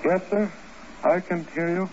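Casting: earnest, halting captain under strain, higher male voice, dull vintage radio sound. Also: fairly even intonation. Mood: dazed, entranced. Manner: earnest, halting, repeating himself. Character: scared, troubled military captain, later dazed in hypnotic trance. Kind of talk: 1950s radio drama acting. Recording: dull, noisy old radio recording.

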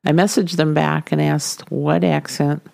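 A middle-aged woman with a light Minnesota accent hosts her podcast, telling a personal story in a gentle, wry, homey way. Her voice sits low and mellow. The recording is dry and close. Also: steady tone, measured pace, gravelly, no room sound. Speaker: podcast host. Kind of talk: scripted storytelling narration.

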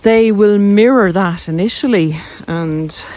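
A woman with an Irish accent talking informally and reflectively to viewers while out on a walk, pausing as she explains. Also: mid-range voice, a little mellow, lo-fi sound. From Ireland. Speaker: video host, narcissism coach. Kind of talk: casual chat to camera while walking. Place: outdoors on a country walk.

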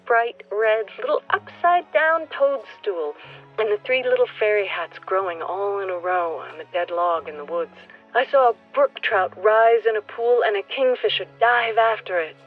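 A woman's voice: medium pitch, slightly rough, phone-quality sound, lightly acted. An actress playing an earnest, nature-loving newcomer in a radio play, telling her thoughts intimately and wistfully to someone absent. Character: earnest nature-loving newcomer woman speaking to a departed listener. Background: music.

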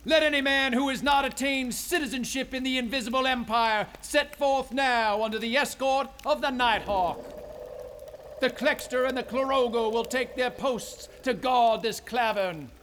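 A man's voice: very high voice, moderate pace, a bit bright, a little hiss or hum. An actor playing a pompous male cult herald in a radio drama, proclaiming ritual orders in formal speech. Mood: pompous proclamation. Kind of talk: ceremonial radio drama acting.

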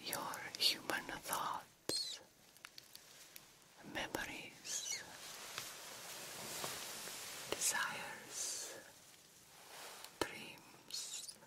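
Slowly whispering female vampire doctor, acted by a woman. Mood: hypnotic, guiding. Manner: slow, deliberate, hypnotic guiding with formal wording. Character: stern yet encouraging female vampire doctor mentoring a fledgling. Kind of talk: whispered ASMR roleplay.

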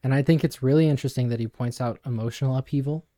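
A young man co-hosting a podcast, explaining ideas in a thoughtful, measured, conversational way. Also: mid-range voice, dark tone, no room sound, clean studio sound. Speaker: podcast co-host. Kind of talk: casual explanatory discussion.

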